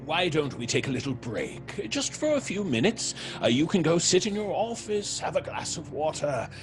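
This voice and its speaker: A man playing a troubled theatre actor in an audio horror drama, speaking haltingly with dramatic pauses. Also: fairly high pitch, sing-song, music in the background. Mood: placating. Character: troubled theatre actor, haunted by a past tragedy.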